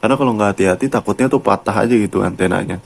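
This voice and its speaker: A man's voice: lowish voice, racing, gravelly, breathy edge. A man with a colloquial Jakarta Indonesian accent, giving a casual, chatty product review and addressing viewers like mates. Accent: Jakarta-style colloquial Indonesian. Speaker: YouTube motovlogger and product reviewer.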